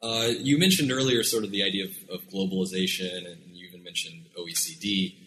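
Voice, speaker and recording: A man's voice: low voice, fairly even intonation, quick pace, sharp tone, a little hiss or hum, poor audio. A man from Oklahoma interviewing a guest, casual and affirming, with plenty of fillers. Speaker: interviewer, host.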